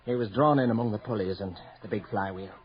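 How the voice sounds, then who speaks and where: An actor playing an awkward, apologetic company messenger in a radio drama, speaking formally and hesitantly. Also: male voice, on the low side, fast talker, natural voice. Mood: grave, regretful. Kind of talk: 1980 radio drama acting. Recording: muffled old radio broadcast.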